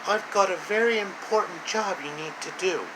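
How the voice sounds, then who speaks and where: A man's voice: sits high, moderate pace, voice pushed up, lightly acted. Man voicing an imperious, grumpy ice queen, noisy recording. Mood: scheming. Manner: commanding, disdainful. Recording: steady loud room hum and hiss.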